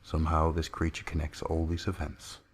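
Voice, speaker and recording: Man playing a grim, low-voiced inquisitor. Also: completely flat delivery, normal speed, breathy edge. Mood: grim realization. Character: grim, embattled male inquisitor of a far-future empire. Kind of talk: dramatic science-fiction storybook character voice.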